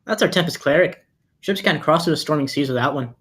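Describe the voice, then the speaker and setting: A woman's voice: sits low, fast, natural voice. A woman playing soldiers and officers in a table read of a fantasy war script. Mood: matter-of-fact.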